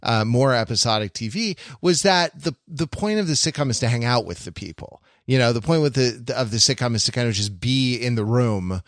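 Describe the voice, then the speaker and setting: A man hosting a podcast in casual analytical discussion, halting and repeating phrases as he thinks. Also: medium pitch, lively intonation, no echo, clean studio sound. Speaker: podcast host.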